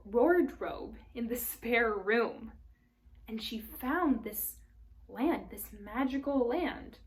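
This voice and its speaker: A woman reading a storybook aloud for children, expressively, pausing to explain it in simple phrases like a teacher. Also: fairly high pitch, poor audio.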